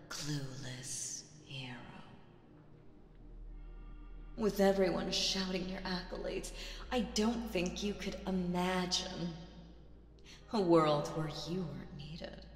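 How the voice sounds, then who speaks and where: A woman voicing a proud, mocking demon queen in an ASMR audio roleplay, theatrical and condescending with dramatic pauses. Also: fairly low pitch. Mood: mocking amusement. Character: proud, mocking female demon queen, vengeful, regal villain. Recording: roomy with long reverb.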